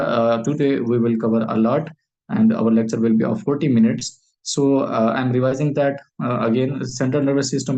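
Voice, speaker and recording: A Pakistani man, a native Urdu speaker, giving a medical lecture in English, pausing often on fillers, repeating phrases and posing questions he then answers. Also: medium pitch, darkish tone, rough recording.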